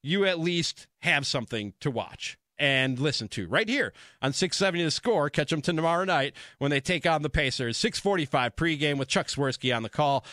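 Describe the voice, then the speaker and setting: A man's voice: mid-range voice, a bit bright, no echo, studio quality. An American man hosting sports talk radio, speaking in an emphatic, conversational monologue that repeats phrases for emphasis.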